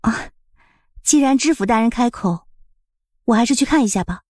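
一个女演员在有声剧中扮演从容有礼、暗带机锋的年轻女医者夫人。. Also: female voice, a little high, animated, normal speed, darkish tone, dry recording, studio quality. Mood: cool, polite. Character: composed, subtly sly young wife and physician. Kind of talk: audiobook drama acting.

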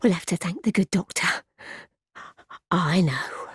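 A half-mad old British woman from a gothic game. She speaks slowly, scornful and distrustful, shifting between scolding and muttering. The sound is dry and close. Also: on the low side, animated, breathy edge, no room sound, exaggerated voice. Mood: crazed, cooing. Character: bitter, suspicious, half-mad old townswoman. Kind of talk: dramatic gothic video game acting.

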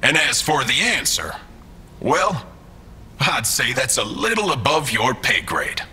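A gloating male mastermind talks down to his hirelings in an audio drama. His voice is very bright and sharp, swinging widely in pitch, with theatrical mock courtesy and faint noise behind. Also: big pitch swings, a little slow, exaggerated voice. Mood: taunting. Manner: theatrical, falsely polite, chuckling condescension. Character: smug, mocking male mastermind employer as hologram. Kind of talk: scripted audio drama acting.

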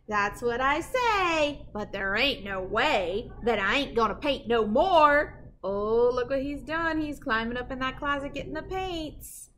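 A middle-aged woman reads aloud for children in a bright, very lively voice. Her delivery is dramatic and sing-song, with emphasis on the rhymes. There is faint room noise behind her. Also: high-pitched, measured pace. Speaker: school librarian reading picture books aloud. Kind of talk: expressive children's read-aloud. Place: indoor library with bookshelves.

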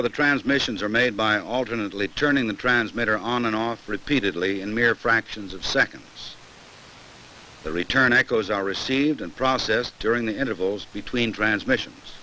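An American man narrating a 1960s educational film in a measured, formal, explanatory way from a script. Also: lowish voice, pushed and bright, poor audio. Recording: hissy old 1960s film soundtrack.

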